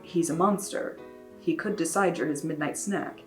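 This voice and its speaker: A woman voicing a wary father who talks slowly with dry understatement. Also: soft background music, lightly acted. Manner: slow, dry and low-key.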